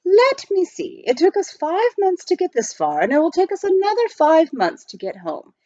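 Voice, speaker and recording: Lady doing a prim unflappable butler man in a storybook, formal n precise, swoopy pitch, dull recording. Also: wildly swooping pitch, normal speed, mellow, no echo, full character voice. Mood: composed, optimistic. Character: proper, unflappable male English butler.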